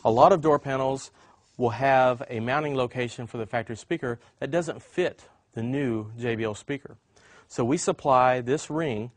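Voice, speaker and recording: A man with a medium-pitched voice gives an instructional product demonstration. He explains each point step by step in the way of a trainer showing how something works. The recording is dry, with very little room sound. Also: normal speed, no room sound.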